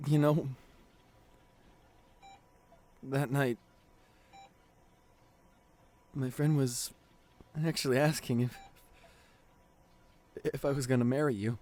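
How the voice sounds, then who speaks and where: A man acting a devoted, guilt-ridden boyfriend at a bedside in an intimate ASMR roleplay, speaking tenderly and haltingly with a lot of emotion. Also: mid-range voice, steady tone, darkish tone, close to an everyday voice. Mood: tender, hopeful. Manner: tender, halting, emotional delivery with repeated phrases.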